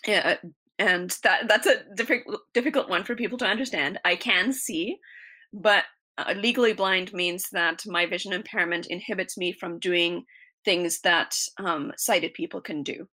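Bright-voiced woman teacher from South Africa. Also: on the low side, moderate pace. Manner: earnest, explanatory, many "you know" fillers. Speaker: teacher, interview guest. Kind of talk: conversational interview answers.

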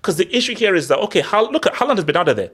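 A man from Nigeria hosting a casual football podcast, animated and playful, often repeating words. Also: high voice, rapid-fire.

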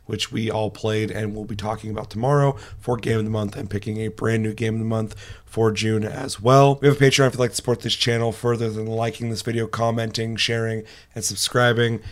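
A young man with a hoarse, raspy voice hosts a casual podcast, talking in a chatty and self-deprecating way. His voice sits a little low and stays fairly flat in pitch. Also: a little fast. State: hoarse, recovering lost voice. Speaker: podcast host. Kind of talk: casual gaming podcast chat.

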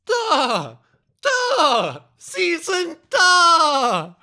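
A man voicing an excitable talking horse, a humble straw gatherer, in a dramatic, exclamatory way for a children's bedtime story. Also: extremely high pitch, extremely slow, gravelly, no echo, studio quality, voice pushed up, heavily acted. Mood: thrilled.

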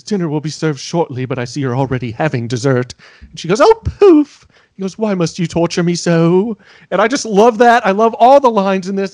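Male co-host, high voice, dry sound, jokey and relaxed. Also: no echo. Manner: laughs often, playful banter. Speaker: podcast co-host. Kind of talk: casual recap chat.

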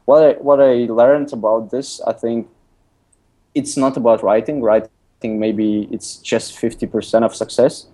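Young lithuanian guy, not his first language, lots of uhs, kinda flat low voice, dark tone. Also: a little slow. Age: young adult. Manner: hesitant, many fillers and restarts. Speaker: guest, app designer and author. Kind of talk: casual interview talk. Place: recording remotely from abroad.

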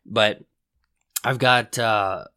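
A man's voice: lowish voice, fairly even intonation, extremely slow, pushed and bright, smooth, dry recording, clean studio sound. A man from Texas hosting his podcast, talking in a chatty, friendly way full of restarts, his voice nasal from congestion. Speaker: podcast host. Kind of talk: casual solo podcast talk. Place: Round Rock, Texas area home studio.

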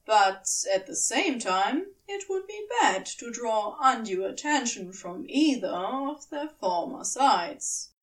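A woman narrating scripted fiction in a measured, expressive storytelling manner. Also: on the high side, recorded from a distance. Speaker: audiobook narrator.

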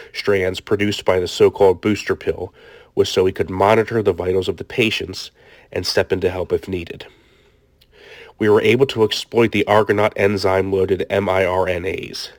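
A man's voice: low-pitched, no room sound, studio quality, close to an everyday voice. A man playing a self-justifying research doctor in his late forties, formally reading a diary in an audiobook. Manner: formal, measured explaining. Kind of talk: scripted audiobook diary monologue.

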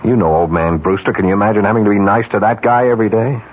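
An actor playing a cynical, scheming, impatient ad executive in a radio drama, talking in a glib, insistent way. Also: male voice, lowish voice, quick pace, close to an everyday voice. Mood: agitated, scornful. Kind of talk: 1970s radio drama acting. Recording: dull, noisy 1970s radio recording.

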